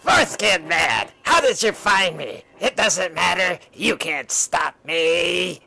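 A man voicing a menacing elderly mad doctor from a comic book, theatrical and wheedling, with a gravelly voice. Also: high voice, lively intonation, takes it slowly, bright, forceful tone, faint music behind, voice pushed down, heavily acted.

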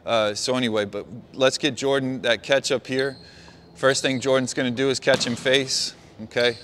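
Bright-voiced man coaching in a gym. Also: medium pitch, steady tone, moderate pace, a little hiss or hum. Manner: talks players through a walk-through, calls out praise. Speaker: basketball coach. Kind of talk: on-court coaching demonstration. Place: large indoor gym.

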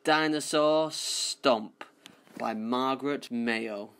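A man reading a children's picture book aloud, deliberate and emphatic on action words. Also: medium pitch, fairly bright tone. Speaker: school staff reader. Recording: roomy, echoey sound.

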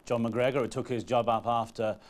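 A middle-aged man presents live political news from a studio. He speaks quickly and formally. His pitch sits in the middle for a man. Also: flat delivery. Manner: brisk, formal. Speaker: political correspondent. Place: television studio.

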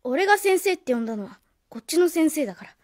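A woman's voice: high-pitched, big pitch swings, quick pace, darkish tone, no room sound, studio quality. A woman playing a lonely, stubbornly trusting young schoolboy in a radio drama. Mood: hurt, defiant. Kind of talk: Japanese radio drama acting.

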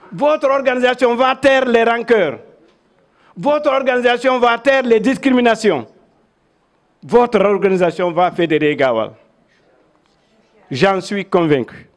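Older guinean man w high voice making a speech, measured n oratorical, recalling old times. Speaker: veteran local dignitary. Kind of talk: public speech with personal recollections. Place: crowded covered event venue. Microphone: handheld microphones held in front.

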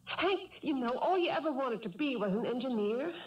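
Determined young wife, thirties, very high voice, earnest; radio drama, dull sound. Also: female voice, lively intonation, normal speed. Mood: pleading. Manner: earnest, insistent. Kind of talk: 1970s radio drama acting. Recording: dull 1970s radio recording.

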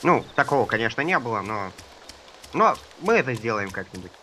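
A man's voice: mid-range voice, big pitch swings, a little fast, sharp tone, slight background noise. A slightly ill man chatting casually with teammates during a group gaming session.

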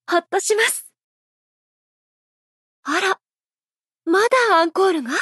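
High-voiced gentle idol singer. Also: female voice, no echo, clean studio sound, exaggerated voice. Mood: playful, tender. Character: gentle, elegant adult female idol singer, serene, playfully affectionate. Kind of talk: Japanese mobile idol game voice lines.

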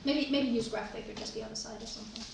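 A woman running a tabletop game at a home dining table, talking with many ums and pauses. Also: fairly high pitch, steady tone, creaky. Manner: hesitant, with frequent ums. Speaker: game master. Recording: echoey room, noisy, from far off.

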